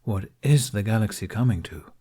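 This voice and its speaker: A man's voice: fairly low pitch, lively intonation, moderate pace, mellow, slightly rough. A man reading the part of a kindly, wry older Jedi master in a story, with dry, teasing courtesy.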